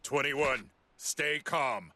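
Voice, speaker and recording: A man's voice: medium pitch, expressive, drawn-out words, pushed and bright, full character voice. A man playing a stoic, protective giant android in an anime game, speaking formally and with measure. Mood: concerned, urgent. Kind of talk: dubbed anime game voice acting. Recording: music under the voice.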